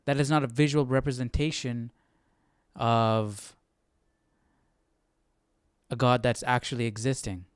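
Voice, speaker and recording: A man hosting a Bible study, talking casually and reflectively, often thinking out loud and posing questions. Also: medium pitch, fairly even intonation, a little slow, long pauses, clean tone, dry recording, clean studio sound. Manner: musing aloud, questioning. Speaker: host of a live Bible study stream.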